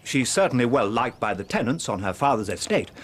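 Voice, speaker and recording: An actor playing a blunt, sardonic, sceptical Victorian police inspector, with a husky voice and an English accent, in a radio drama. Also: male voice, medium pitch, sing-song, brisk. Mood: matter-of-fact.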